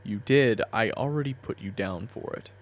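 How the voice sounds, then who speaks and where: A man playing a weary boss with a buried temper in a dramatic audio roleplay, gravelly and brooding. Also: on the low side, normal speed, roomy sound, natural voice. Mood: exasperated. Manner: brooding when narrating, exasperated in dialogue. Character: weary reformed hot-tempered man, a soft-pushover boss. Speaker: narrator and lead character.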